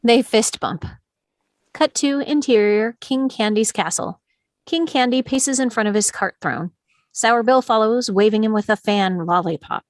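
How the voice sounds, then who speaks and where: A woman's voice: on the high side, unhurried, no room sound. A woman reading stage directions as the narrator of a live script table read.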